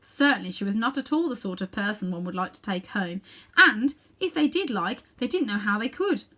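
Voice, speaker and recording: A woman's voice: medium pitch, lively intonation, brisk, bright, forceful tone. A woman narrating a children's novel expressively as a storybook reader.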